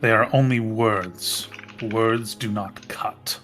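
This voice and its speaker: A man playing a stoic, loyal servant and warrior, speaking formally, solemnly and deliberately. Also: fairly low pitch, steady tone, fairly bright tone, faint music behind. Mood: solemn, devoted.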